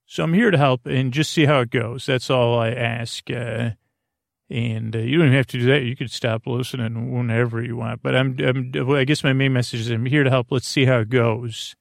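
Creaky-voiced man, halting and meandering. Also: medium pitch, fairly even intonation, dry recording, clean studio sound. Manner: halting and meandering, repeats words, trails into tangents. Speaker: podcast host. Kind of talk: rambling, whimsical bedtime-podcast monologue.

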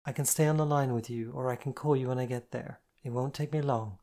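A woman voicing a soft, soothing, caring woman. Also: extremely low pitch, fairly even intonation, fairly quick. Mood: reassuring. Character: calm, caring woman soothing her partner.

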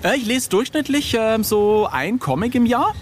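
Chatty middle-aged man, animated pitch swings. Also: normal speed, faint music behind. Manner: chatty, self-deprecating, jokey. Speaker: interview guest, illustrator. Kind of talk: casual anecdotal interview chat.

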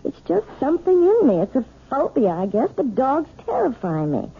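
A prim, nervous piano teacher. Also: female voice, high voice, huge pitch swings, normal speed, creaky, husky, full character voice. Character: timid, proper piano teacher wife, woman, adult. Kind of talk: 1970s radio drama acting. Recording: tinny, narrow old radio broadcast.

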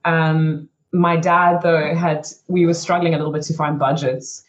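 A woman's voice: low-pitched, level tone, unhurried. A young woman from South Africa, a racing driver, telling her story frankly as an interview guest, with lots of "you know" and "like". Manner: chatty and frank, many "you know" and "like" fillers.

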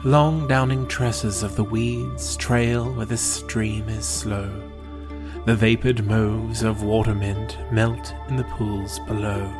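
A man reads in a solemn, measured way, with a low voice and very slow delivery. His words are deliberate, like a poetry recitation. Calm new-age music plays clearly behind him. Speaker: poetry podcast reader. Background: prominent calm new-age music.

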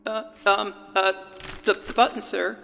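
Stammering, high-pitched, meek trainee. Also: male voice, level tone, unhurried, vocal fry, pushed and bright, exaggerated voice. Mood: nervous, deferential. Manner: stammering, timid, hesitant. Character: nervous, meek young male trainee assistant named Bob. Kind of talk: audio drama acting. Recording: reverberant hall, music behind.

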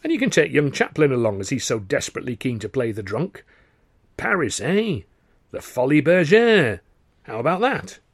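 A man's voice: mid-range voice, very animated, normal speed, slightly rough, no echo, clean studio sound, voice pushed up. A man voicing a middle-aged male theatre impresario who is jovial but touchy, hesitant then snapping.

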